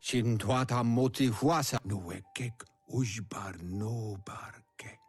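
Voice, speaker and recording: A man's voice: on the low side, slow, husky. An actor voicing an old male creature who speaks in a made-up gibberish language, in a game. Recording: game audio with music behind.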